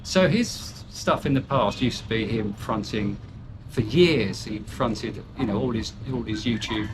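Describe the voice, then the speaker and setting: A man's voice: on the low side, expressive, measured pace, background noise. An older British man chatting candidly as an interview guest, rambling with fillers and restarts and poking fun at himself. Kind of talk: casual chat.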